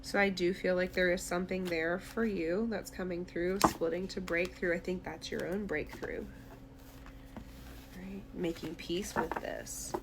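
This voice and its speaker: A woman's voice: fairly low pitch, steady tone, unhurried, very noisy. A woman tarot reader speaking directly to the listener in a conversational, reassuring, therapy-minded way during an intuitive reading.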